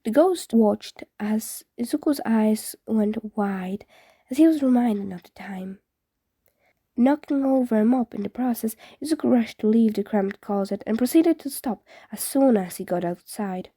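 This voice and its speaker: Woman, amateur audiobook narration, higher-pitched, soft dark tone, small stumbles. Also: a little slow, breathy edge, no echo. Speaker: fan fiction reader. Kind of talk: scripted story narration, amateur audiobook reading.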